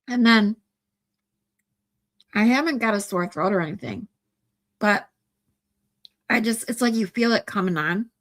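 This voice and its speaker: A woman's voice: mid-range voice, unhurried, pauses often. A woman in her forties with a stuffy nose, chatting casually with viewers on a livestream, rambling with many ums and restarts. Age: 40s. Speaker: livestream host.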